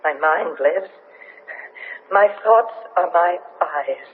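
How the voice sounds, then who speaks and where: High eerie alien mind in an old radio play, slow n solemn, insistent, tinny sound. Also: male voice, a little hiss or hum. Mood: mournful, solemn. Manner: slow, halting, solemn incantatory phrases. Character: disembodied alien mind, last survivor, possessing and insistent. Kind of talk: 1970s radio drama acting.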